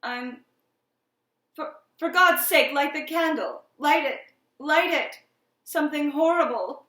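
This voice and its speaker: A woman voicing a terrified, desperate man afraid of the dark in a storybook reading, pleading and urgent. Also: fairly high pitch, flat delivery, drawn-out words, far from the mic. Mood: panicked, pleading. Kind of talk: dramatic storybook character voice. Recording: roomy, echoing room sound.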